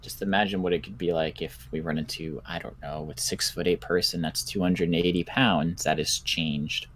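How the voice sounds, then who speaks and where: A man roleplaying a cautious, analytical doctor in a zombie survival game, speaking in a deliberate, musing, clinical way. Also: low-pitched, fairly even intonation, a bit bright, a little hiss or hum. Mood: clinical, pondering. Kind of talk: improvised Minecraft roleplay.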